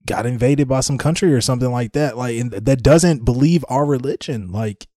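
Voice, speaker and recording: A man's voice: medium pitch, fairly quick, dark tone, no echo. Musing American man, close dry sound. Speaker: podcast co-host. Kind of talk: casual conversation.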